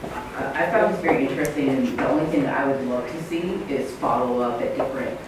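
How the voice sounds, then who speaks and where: Male audience member, mid pitch, informal comment, heavily reverberant noisy room. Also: quick pace. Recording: very echoey room, distant, noisy.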